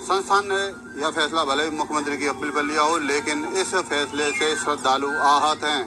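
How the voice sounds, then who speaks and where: A man's voice: fairly high pitch, fairly even intonation, moderate pace. A man giving a formal news report from the field, repeating phrases as he goes. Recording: clear outdoor street noise.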